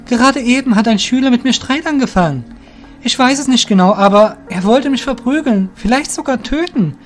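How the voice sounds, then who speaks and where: Young male apprentice played by a man: high voice, anxious and earnest, fantasy audio drama. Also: lively intonation, brisk, faint music behind. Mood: anxious, troubled.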